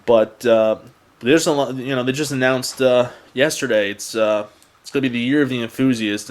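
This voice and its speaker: A young man with an average-pitched voice talks to his viewers as the host of a car channel. His delivery is casual and unscripted. He rambles a little in a conversational way, as in an everyday vlog.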